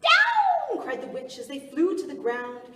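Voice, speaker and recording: A woman's voice: high voice, moderate pace. A woman reading aloud to children as a library story time host. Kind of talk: rhyming picture book read-aloud. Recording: echoey hall, distant mic, faint noise.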